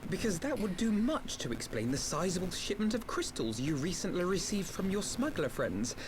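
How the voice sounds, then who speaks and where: A shrewd, articulate young gentleman from a video game. He speaks formally and pointedly, in a rather high male voice with lively intonation, over clear noise. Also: normal speed. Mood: cool, pointed. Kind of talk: video game cutscene voice acting.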